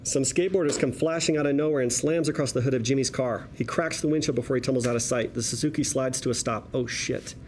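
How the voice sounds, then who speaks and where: A man's voice: medium pitch, quick pace, few pauses, darkish tone, a little hiss or hum. A man reading stage directions as scripted narration at a table read, in vivid present-tense storytelling.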